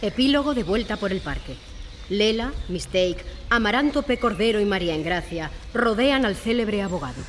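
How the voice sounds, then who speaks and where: A woman's voice: medium pitch, expressive, moderate pace. A woman reading scripted narration for an audio drama performed live. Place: public library hall, live performance. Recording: reverberant hall, faint ambient noise.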